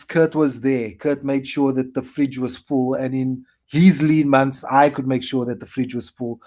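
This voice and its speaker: A South African man in his forties, a comedian telling his story in a casual interview and acting out his past self in quick asides. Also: on the high side, a little mellow. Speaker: comedian guest.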